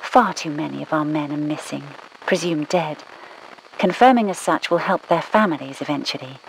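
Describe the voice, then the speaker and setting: A woman voicing a brisk British spymaster who gives mission orders over the radio in a dry, commanding manner, with a British accent. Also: low-pitched, gravelly, slight background noise, poor audio. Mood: briefing. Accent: British English. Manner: clipped, dry, commanding, scolding. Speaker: mission controller. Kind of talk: game voice lines.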